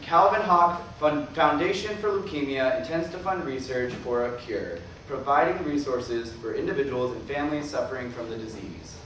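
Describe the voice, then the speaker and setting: A man's voice: mid-range voice, animated, moderate pace, hardly any pauses, fairly bright tone, natural voice. An actor playing a sardonic, distrustful man with a dry, terse manner in a staged play reading. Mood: exasperated. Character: sardonic, distrustful dying brother of a preacher. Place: indoor theatre stage. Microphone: distant camera microphone. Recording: echoey stage recording from far off, faint noise.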